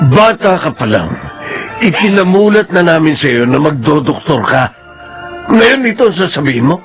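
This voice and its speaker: A man's voice: on the high side, big pitch swings, moderate pace, soft background music. An actor in a Filipino radio drama playing a domineering, hot-tempered middle-aged father, stern and commanding. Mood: angry.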